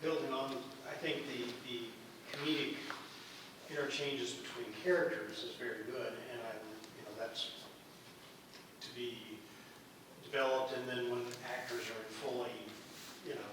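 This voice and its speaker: A man giving informal feedback from an audience, halting as he thinks aloud. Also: medium pitch, level tone. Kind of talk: informal critique. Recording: very echoey room, distant mic, clear noise.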